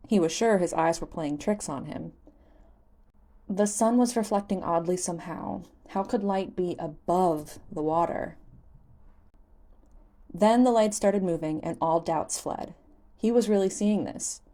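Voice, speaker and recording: A woman's voice: fairly low pitch, mellow. A woman reading scripted fan fiction as an audiobook narrator.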